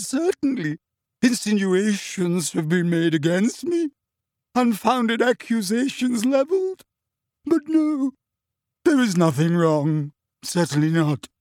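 Brief: male reader as a theatrical middle-aged witch, shrill and quavering, swinging wildly in pitch, full of wounded pride. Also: big pitch swings, takes it slowly, a little mellow, no echo, clean studio sound, heavily acted. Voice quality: high-pitched, wavering, theatrical. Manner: dramatic, self-pitying, throbbing with emotion. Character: hysterical insulted middle-aged witch seer.

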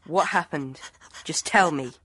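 A man's voice: a little high, a little slow, bright, forceful tone, husky, pitched above the performer's own voice, full character voice. A man voicing a level-headed young boy who speaks firmly and directly. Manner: firm, direct questions.

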